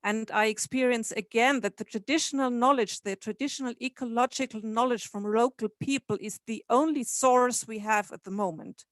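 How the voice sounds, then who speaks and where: A woman's voice: a little high, moderate pace, hardly any pauses, no echo. A woman making an earnest contribution to an online discussion. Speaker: webinar participant.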